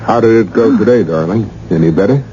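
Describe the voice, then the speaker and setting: A man's voice: lowish voice, a little fast, dark tone, natural voice. A man playing a nervous, earnest young husband in a radio drama, speaking hesitantly. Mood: reassuring. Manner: hesitant, stammering under strain. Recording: dull, hissy old radio recording.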